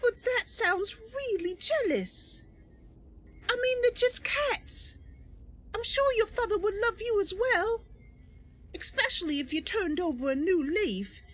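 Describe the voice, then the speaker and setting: A woman's voice: very high-pitched, lively intonation, measured pace. A woman voicing a small, girlish young elf who wants to be a knight, innocent, earnest and emotive, in a fantasy game roleplay. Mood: earnest, sad. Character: tiny plucky young elf girl, eager, earnest would-be knight.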